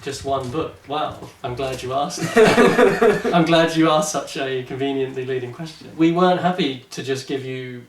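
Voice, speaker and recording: A man's voice: mid-range voice, moderate pace, few pauses, a little hiss or hum. A man co-hosting a show in casual promotional chat, jokey, ironic and mock-solemn. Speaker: show co-host. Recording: echoey room, distant mic.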